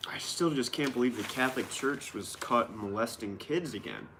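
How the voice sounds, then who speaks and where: A man's voice: medium pitch, moderate pace. Sarcastic man commenting over background noise. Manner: sarcastic, feigned astonishment. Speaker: host of a newspaper-reading show. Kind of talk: casual rambling commentary, reading news aloud.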